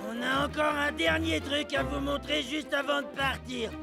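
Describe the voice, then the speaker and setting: A man voicing a loud, dim-witted young algae prankster in a French cartoon, with a brash, drawling, mocking manner. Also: steady tone, normal speed, music in the background, exaggerated voice. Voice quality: gravelly, forced high voice.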